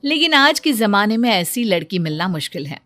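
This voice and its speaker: A woman narrating a scripted bedtime cartoon story in a calm storytelling manner. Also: mid-range voice, normal speed, faint music behind.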